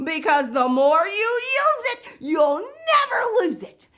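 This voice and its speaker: Woman playing exuberant high-pitched giggly vocabulary teacher. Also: very animated, bright, forceful tone, full character voice. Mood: gleeful. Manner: whooping, drawn-out emphasis. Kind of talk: children's show word segment.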